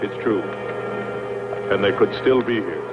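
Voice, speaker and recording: An actor playing an eager, argumentative space explorer in a persuasive, musing manner, in dubbed 1960s science fiction film style. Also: male voice, on the low side, unhurried, lightly acted. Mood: earnest, persuasive. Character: eager, argumentative male space explorer convinced of alien intelligence. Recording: dull old film soundtrack, loud music.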